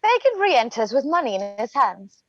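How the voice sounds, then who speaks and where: A woman's voice: a little high, very animated, normal speed, a bit bright, no room sound. A woman narrating stage directions in a scripted play reading, recorded on slightly dull livestream audio.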